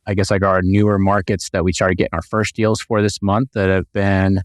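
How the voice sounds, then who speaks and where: A man's voice: low voice, flat delivery, moderate pace, dry recording, studio quality. A man co-hosting a real estate podcast, chatting casually and upbeat with lots of fillers and restarts. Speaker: podcast co-host.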